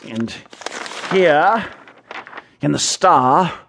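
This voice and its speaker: Haughty old-time gentleman occult sleuth, radio play, guarded n dry, kinda sardonic. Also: a little high, animated, extremely slow, creaky, slight background noise, full character voice. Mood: grave, foreboding. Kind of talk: radio drama acting.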